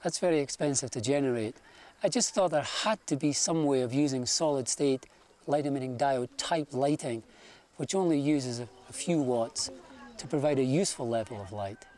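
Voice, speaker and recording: A man's voice: medium pitch, moderate pace, darkish tone. A man, an electrical engineer, explaining things plainly in an interview, with a few restarts.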